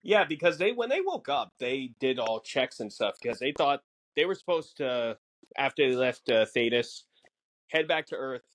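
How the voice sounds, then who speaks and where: A man co-hosting a podcast, explaining casually in a halting way, piecing his thoughts together. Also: medium pitch, very animated, fairly bright tone. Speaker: podcast co-host.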